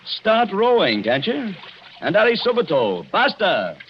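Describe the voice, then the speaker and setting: A protective young husband in a 1940s radio mystery. He is brisk and commanding, with very animated swings in pitch. The old recording is muffled and clearly noisy. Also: heavily acted. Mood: alarmed, commanding. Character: impatient, protective young American husband on honeymoon.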